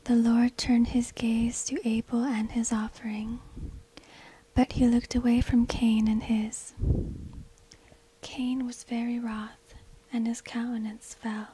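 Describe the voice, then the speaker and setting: A woman narrating a scripted story for ASMR in a breathy, unvoiced whisper, slow and deliberate, close on a binaural microphone. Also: fairly high pitch, flat delivery, rough recording. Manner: slow, hushed, deliberate phrasing.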